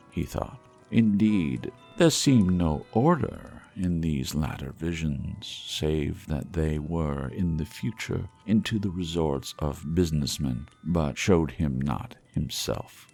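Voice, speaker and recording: A man reading a classic tale aloud as a dramatic storyteller, in a measured, solemn manner. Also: rumbling low, creaky, darkish tone, slightly rough. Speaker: audiobook reader.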